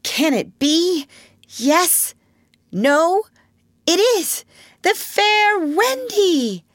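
An excitable little boy , as a woman acts him out, pitched high and swooping with eagerness. He exclaims each phrase in a wide-eyed, childlike storybook manner. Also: slow, no echo, studio quality, exaggerated voice. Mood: thrilled.

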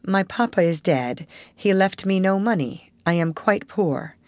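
A woman voicing a proud, grieving little girl who speaks in a quiet, steady voice. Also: unhurried, no room sound, clean studio sound. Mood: grave. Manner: quiet, steady, low-toned.

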